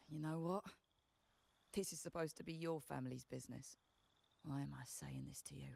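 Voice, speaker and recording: A woman's voice: low-pitched, steady tone, moderate pace, creaky, darkish tone, exaggerated voice. A woman voice actor playing a blunt, practical commoner trader in a video game, with a frank, sardonic, informal manner. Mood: wary, exasperated.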